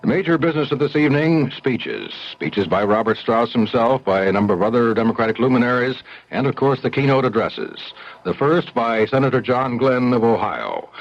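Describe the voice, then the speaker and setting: A man anchoring a radio news report in a measured, even newsreader manner, from an old broadcast. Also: medium pitch. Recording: dull lo-fi 1970s radio broadcast.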